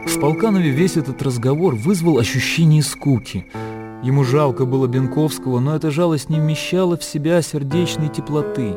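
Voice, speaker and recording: A man narrating literary fiction for a radio drama in a measured, expressive storytelling manner. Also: fairly high pitch. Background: music.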